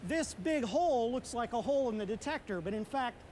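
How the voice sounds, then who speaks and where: A man's voice: very high voice, fairly quick, slight background noise. A man narrating a science documentary as the show's correspondent, explaining in a scripted way. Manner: lively, explanatory delivery. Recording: narration over loud music.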